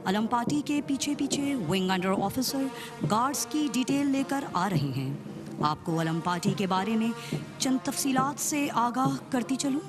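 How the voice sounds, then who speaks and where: A woman with a Pakistani accent giving formal, measured, scripted commentary on a ceremony. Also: medium pitch. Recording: music behind the voice. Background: music.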